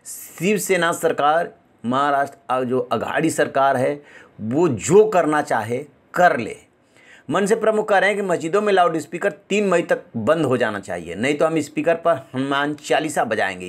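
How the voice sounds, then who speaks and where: Man presenting a news report. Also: medium pitch.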